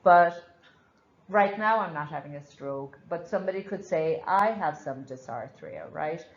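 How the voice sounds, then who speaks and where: A woman's voice: lowish voice, measured pace, reverberant, lo-fi sound. A woman with an Irish accent teaching a live nursing class, frank and chatty, explaining with examples. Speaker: nursing instructor.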